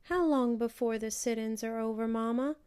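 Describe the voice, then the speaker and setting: A woman's voice: fairly high pitch, moderate pace, a little mellow, smooth, pitched above the performer's own voice, lightly acted. A woman voicing an eager, earnest little girl in a children's storybook, childlike and emphatic. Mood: wondering.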